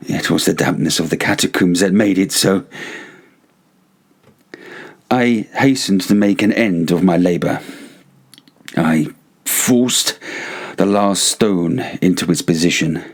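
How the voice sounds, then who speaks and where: A man reading a gothic story as narrator, theatrical and deliberate, with pauses between phrases. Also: deep voice, husky.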